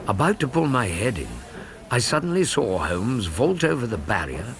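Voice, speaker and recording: An actor playing a loyal, observant Victorian gentleman who narrates a radio drama in an English accent, with a measured, literary storytelling manner. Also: on the low side, a little hiss or hum. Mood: wry, vivid.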